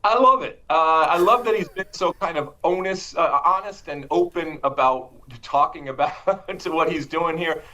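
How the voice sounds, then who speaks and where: A man's voice: fairly high pitch, normal speed, few pauses, sharp tone, poor audio. A man speaking as a sports guest, enthusiastic and rambling with fillers. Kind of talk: interview conversation.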